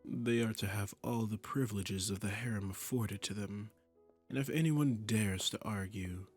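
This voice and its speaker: A man's voice: lowish voice, normal speed, faint music behind, close to an everyday voice. A man playing a seductive, commanding demon prince in a romantic roleplay audio drama, speaking in a silky, imperious way and often dropping into a murmur. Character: seductive, commanding male demon prince claiming a captive singer.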